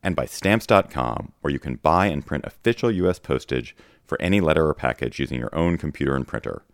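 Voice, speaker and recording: Very deep, gravelly man. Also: lively intonation, brisk, dry recording, clean studio sound. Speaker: sponsor announcer. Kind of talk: scripted podcast ad read.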